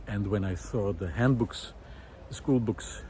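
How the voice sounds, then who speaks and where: A middle-aged man musing aloud in a reflective, hesitant monologue with frequent pauses, recorded while walking outdoors. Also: fairly low pitch, darkish tone. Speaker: visual artist and vlog host. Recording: outdoor ambient noise.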